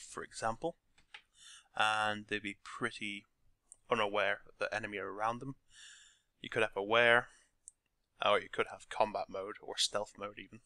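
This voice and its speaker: A man with a croaky voice talking through a game scripting tutorial step by step from his desk. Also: on the low side, no room sound. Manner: relaxed step-by-step explaining. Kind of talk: screen-recorded tutorial narration.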